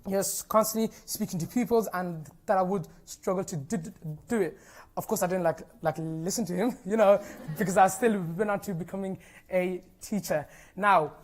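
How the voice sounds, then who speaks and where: A man's voice: sits high, moderate pace. A young man with a stammer, a teacher giving an informal, encouraging talk to an audience and using many fillers. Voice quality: stammer, with repeated sounds and blocked starts. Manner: many fillers such as "you know" and "like", earnest and encouraging.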